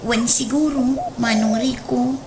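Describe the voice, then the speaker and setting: A woman's voice: fairly high pitch, fairly even intonation, unhurried, noisy background. A woman with an Ilocano accent playing an emotional young woman in a melodramatic comedy radio play. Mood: resigned. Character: young woman, emotional partner of an old man.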